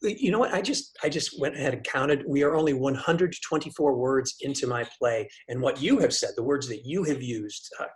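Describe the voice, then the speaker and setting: A man acting as a pompous professor and would-be playwright in a web comedy. He speaks in a mid-pitched voice with theatrical flourish. He halts and stammers, thin-skinned about his work. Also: close to an everyday voice. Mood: exasperated. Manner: halting, theatrical, stammering when challenged. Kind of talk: scripted comedy acting on a video call.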